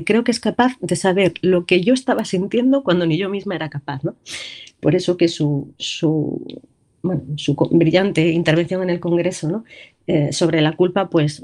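A woman who is the voice-over of a radio show, speaking in a tribute with a broken, trembling, tearful voice, halting and restarting with small nervous laughs. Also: fairly low pitch, warm tone. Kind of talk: emotional tribute on live radio.

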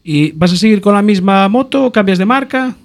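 A man's voice: high-pitched, lively intonation, a little fast. Jokey radio host, man. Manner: jokey, chatty, laughing with guests. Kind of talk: casual radio interview. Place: radio studio.

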